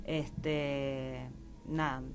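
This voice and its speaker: A woman's voice: extremely low pitch, level tone, very slow, over music. A woman with a Buenos Aires accent speaking as an interview guest, informal, thinking aloud and checking for agreement. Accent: Argentine, Buenos Aires. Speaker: theater director, radio guest. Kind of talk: casual interview chat.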